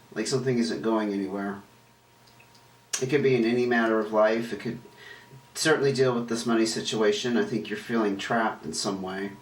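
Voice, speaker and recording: A man hosting a tarot reading in a rambling, chatty way, his voice rough and hoarse as he recovers from illness. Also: on the low side. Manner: chatty, rambling, self-correcting. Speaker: tarot reader and channel host. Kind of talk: casual tarot card reading to camera. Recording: roomy echo, distant mic, faint noise.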